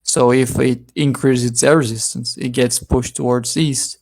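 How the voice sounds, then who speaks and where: Gravelly man, arguing points. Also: mid-range voice, steady tone, a little slow. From Brazil. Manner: argumentative, explains step by step. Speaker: debater. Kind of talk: heated online voice-chat debate.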